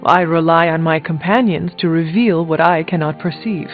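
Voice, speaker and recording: An actor playing an earnest, stoic superhero companion in a video game, speaking formally and in a measured way. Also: male voice, fairly high pitch, fairly even intonation, natural voice. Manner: formal, measured, without contractions. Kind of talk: video game character dialogue. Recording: tinny band-limited sound, music behind. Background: game music.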